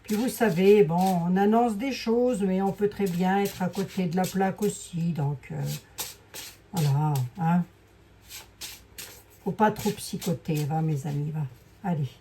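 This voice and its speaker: A woman with a hoarse voice giving a casual, rambling card reading, full of fillers and asides to her viewers. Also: fairly low pitch, a little slow, warm tone. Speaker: clairvoyant doing a card reading. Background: fan noise.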